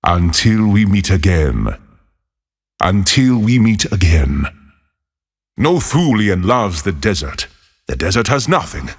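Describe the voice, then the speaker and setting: An actor playing a fiery exiled male desert wanderer for a game, with a gravelly, husky voice and a slow, solemn delivery with dry wit. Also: deep voice, sing-song, big-room sound, exaggerated voice. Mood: wry. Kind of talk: game voice lines.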